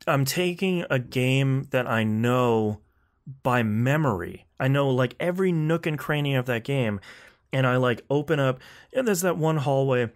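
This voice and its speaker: A man's voice: medium pitch, animated, a little slow. A man hosting a video game podcast in casual conversation, talking in a rambling, enthusiastic and wry way. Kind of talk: casual podcast chat about video games.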